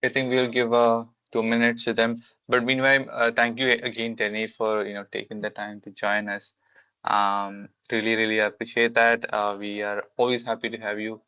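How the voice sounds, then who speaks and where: A man's voice: on the low side, little pitch movement, moderate pace, bright, forceful tone, clear, resonant tone, no room sound, dull audio. A man hosting a webinar Q&A on a video call, speaking hesitantly with many fillers. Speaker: webinar host.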